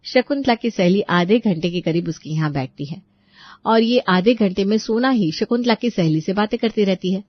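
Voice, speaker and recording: A woman's voice: medium pitch, animated, moderate pace, long pauses, no room sound. Woman narrating a story, muffled recording.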